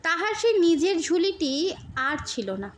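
A woman reads a literary story aloud as narrator. Her voice is quite high, and she takes her time with measured, expressive phrasing. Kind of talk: scripted literary audiobook narration.

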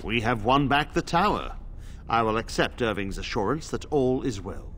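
An actor playing a stern, dutiful knight commander in a fantasy game, formal, curt and authoritative. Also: male voice, mid-range voice, very animated, moderate pace, fairly bright tone, a little hiss or hum. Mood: measured, formal.

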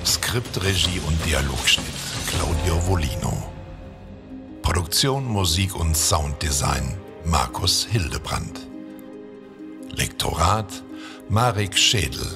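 Very deep, creaky male announcer. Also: sing-song, slow. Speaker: credits announcer. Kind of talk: scripted credits narration. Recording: very loud music behind.

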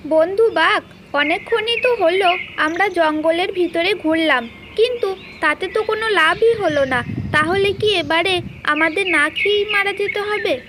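A woman's voice: very high-pitched, measured pace. A woman voicing a foolish, eager old crocodile, starving, in a children's cartoon story. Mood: despairing. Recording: clearly noisy, birdsong behind.

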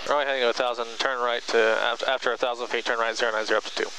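A man's voice: medium pitch, level tone, racing, bright, forceful tone, low-quality recording. A man training as a pilot makes clipped, procedural radio calls and terse cockpit remarks over a headset intercom in a small airplane. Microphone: aviation headset. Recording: headset intercom with engine hum.

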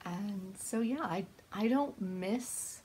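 A woman's voice: mid-range voice, takes it slowly, mellow, a little hiss or hum. A young adult woman in casual conversation about books, earnestly explaining and reminiscing. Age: young adult, 20s to 30s. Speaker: host, book vlogger. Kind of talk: casual conversational book chat. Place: small book-lined room indoors.

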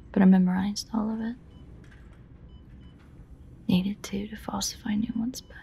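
Whispering, creaky, obsessive yandere woman played by an actress. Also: mid-range voice, warm tone, slight background noise. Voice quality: breathy whisper. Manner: halting, pleading, intimate. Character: obsessive, guilt-ridden yandere woman pleading at lover's bedside. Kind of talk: whispered dramatic audio roleplay addressed to a listener.

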